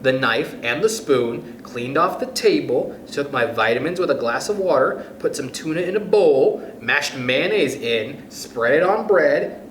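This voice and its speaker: Expressive man in an echoey room. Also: a little high. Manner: expressive, addresses young listeners directly. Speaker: children's storytime host. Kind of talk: picture book read-aloud for children. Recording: roomy echo with faint background noise.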